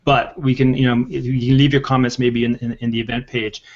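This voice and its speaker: A man's voice: medium pitch, level tone, brisk, darkish tone. A man in his sixties hosting a casual panel, hesitating often, over a lo-fi video call. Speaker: host of a panel video show. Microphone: headset on a video call. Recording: dull lo-fi video call audio.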